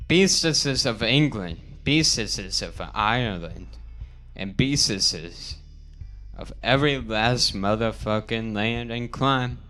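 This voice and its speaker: A man voicing an old male farm pig elder in a parody storybook, a solemn visionary with declamatory, drawn-out, slang-heavy speech. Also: mid-range voice, lively intonation, bright, forceful tone, faint music behind. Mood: rousing, declamatory. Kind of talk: parody storybook character reading.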